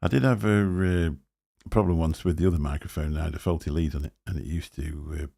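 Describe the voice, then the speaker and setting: A man with a very low, booming voice and a gravelly fry running under his words. His tone is dark and a little husky. He chats in a loose, rambling way on a live stream, talking into a desk microphone. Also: fairly even intonation, a little fast. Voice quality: deep booming voice. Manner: rambling, chatty, chuckling to himself. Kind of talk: casual live stream chat. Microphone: desk microphone through a mixer.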